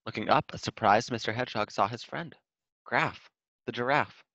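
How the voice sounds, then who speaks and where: A man's voice: mid-range voice, normal speed, sharp tone, no room sound, muffled recording. A man reading a children's storybook aloud in scripted narration. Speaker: storybook reader.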